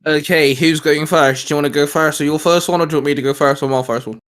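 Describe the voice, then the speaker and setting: A man's voice: on the high side, steady tone, fast talker, fairly bright tone, clean tone. A man hosting a casual geek discussion, speaking informally and emphatically and repeating himself to stress a point. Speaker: host of a hobby video channel.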